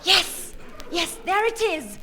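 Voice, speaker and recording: A woman playing a mysterious, theatrical psychic with a breathy manner in a radio comedy. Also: extremely high pitch, big pitch swings, takes it slowly, bright, forceful tone, slight background noise, full character voice. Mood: mystical. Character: mysterious female psychic, dramatic.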